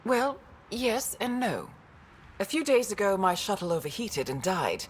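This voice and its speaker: A woman's voice: mid-range voice, big pitch swings, measured pace, a little hiss or hum. A woman playing a stranded, exasperated scientist in a video game, talkative and wry. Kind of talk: game dialogue acting.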